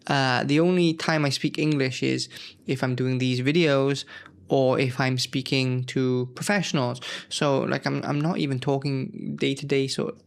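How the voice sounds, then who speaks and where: Gravelly-voiced man musing over old magazines. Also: medium pitch, fairly even intonation, a little slow. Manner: rambling, self-correcting, thinks aloud. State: unwell. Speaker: gaming channel host. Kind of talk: casual nostalgic commentary.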